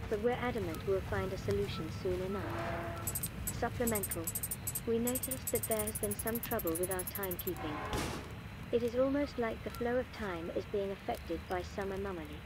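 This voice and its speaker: A woman playing an earnest, composed archaeologist recording measured, articulate expedition audio logs for a game. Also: mid-range voice, loud music behind the voice, natural voice, rough recording. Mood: curious, troubled. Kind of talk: scripted audio-log narration, game voice acting.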